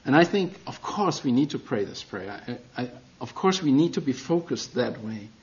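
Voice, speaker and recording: A man's voice: mid-range voice, sing-song, normal speed, darkish tone, dull audio. A middle-aged man with a German accent preaching a sermon as a guest, earnest and self-deprecating, with non-native phrasing.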